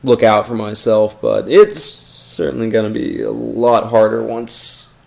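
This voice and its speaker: A man hosting a paranormal podcast, telling his story informally in a halting, rambling, conspiratorial way. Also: lowish voice, expressive, creaky, darkish tone, low-quality recording. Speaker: podcast host. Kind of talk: informal first-person storytelling monologue, paranormal audio drama.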